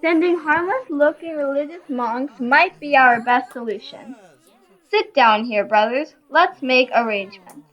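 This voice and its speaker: A woman's voice: high voice, unhurried. A woman playing a practical, cautious court advisor in an amateur audio play. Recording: dull lo-fi home recording.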